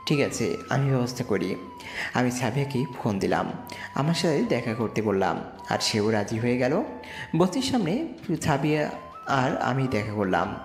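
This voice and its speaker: A man's voice: medium pitch, very animated, moderate pace, long pauses. A man reading a scripted story in the first person with dramatised delivery. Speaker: narrator and lead character in an audio love story. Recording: echoey reverb with music behind. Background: music.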